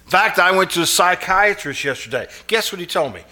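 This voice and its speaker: A man playing an anxious, haunted fellow in live old-time radio suspense style, halting, pleading and earnest. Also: sits high, expressive, bright, forceful tone, full character voice. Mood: agitated, sleepless. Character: anxious, haunted man obsessed with a shadowy vision.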